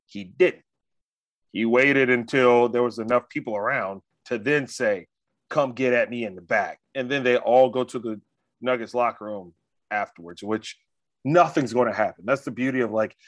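An American man hosting a casual sports talk show, telling stories in an animated, slangy way with frequent check-ins to the listener. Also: medium pitch, normal speed, no echo. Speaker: sports talk podcast host.

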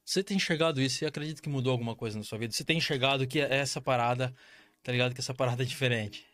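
A man from Brazil with a Brazilian Portuguese accent who hosts a casual talk, asking quick, slang-filled questions. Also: medium pitch, a bit bright, dry recording.

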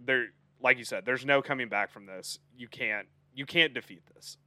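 A man's voice: mid-range voice, moderate pace, sharp tone, no room sound. A man in his twenties co-hosting a podcast, chatting casually in a playful way and laughing through his talk. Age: 20s. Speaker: podcast co-host. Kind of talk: casual chat.

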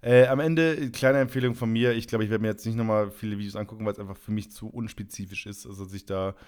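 A man co-hosting a casual podcast chat, talking in a rambling, halting and wry way. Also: lowish voice, fairly even intonation, a little mellow, clear, resonant tone. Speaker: podcast co-host.

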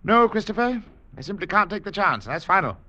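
An actor playing a firm, fatherly middle-aged ship's captain with a brisk, authoritative manner in an old radio drama. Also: high-pitched, very animated. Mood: adamant. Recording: muffled old radio recording.

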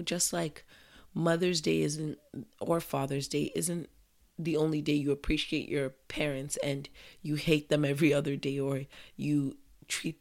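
A woman hosting a casual solo podcast, chatting in a rambling, conversational way. She is hoarse from a sore throat, and English is not her first language. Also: deep voice. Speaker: podcast host.

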